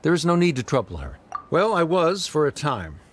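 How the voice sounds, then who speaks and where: A stiff scholarly father, animated pitch. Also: normal speed. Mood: formal. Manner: formal, reserved, precise without contractions. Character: stiff, proud, awkward scholarly father, middle-aged man. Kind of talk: anime game voice acting. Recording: faint background music under the voice.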